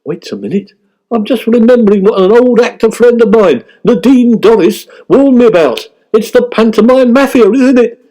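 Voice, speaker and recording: A man acts out a pompous, self-deluded ageing British actor for a radio comedy sketch. The voice sits very high and has a soft, mellow edge, forever sounding wounded and indignant. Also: expressive, moderate pace, rough recording. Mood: conspiratorial. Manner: aggrieved, pompous, emphatic.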